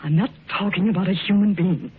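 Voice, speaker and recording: A woman playing a frightened, fretful young woman in old-time radio horror style, speaking haltingly and anxiously. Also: mid-range voice, close to an everyday voice. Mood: haunted, uneasy. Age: young adult. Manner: halting, anxious, breathlessly repeating words. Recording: tinny, noisy old radio recording.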